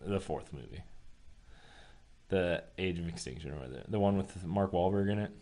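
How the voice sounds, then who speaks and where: A man's voice: low voice, normal speed, dark tone. A man co-hosting a podcast, chatting casually and with slangy opinions about entertainment news. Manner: slangy, opinionated, says "dude". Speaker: podcast co-host. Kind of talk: casual chat about movie and game news.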